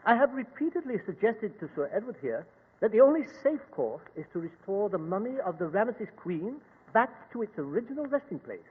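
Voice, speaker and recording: Radio-play Egyptian gentleman: dignified, deliberate, rough-edged, high for a man; boxy, echoey vintage sound. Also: big pitch swings, heavily acted. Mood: earnest, formal. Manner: formal, deliberate. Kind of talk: old-time radio drama acting. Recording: echoey, tinny old radio recording.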